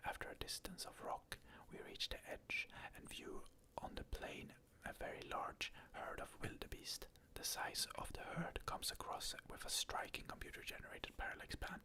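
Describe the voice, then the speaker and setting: A narrator softly whispering a scene-by-scene film retelling in an ASMR style. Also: medium pitch. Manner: soft unvoiced whisper, describing scenes.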